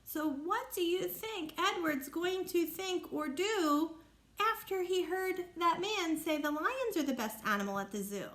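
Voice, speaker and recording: A woman with a high-pitched voice talks to young children as their teacher. She explains simply and keeps asking them questions about the story. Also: animated, unhurried, a bit bright.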